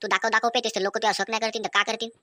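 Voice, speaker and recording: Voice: medium pitch, flat delivery, racing, lightly acted. A performer voicing a cheeky village drinking buddy in a comic cartoon, giving teasing, coarse advice in rural Vidarbha Marathi slang. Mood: teasing, advising. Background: music.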